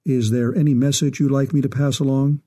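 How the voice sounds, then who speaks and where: A man voicing an older male official who speaks evenly and formally, holding back quiet sorrow. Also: medium pitch, lightly acted. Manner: even, measured, formal.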